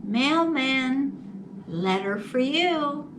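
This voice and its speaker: A woman's voice: high voice, lively intonation, very slow, heavily acted. A woman voicing a shy, kindly old mailman from a storybook, with terse, polite singsong calls. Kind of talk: storybook character voice. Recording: distant mic, faint background noise.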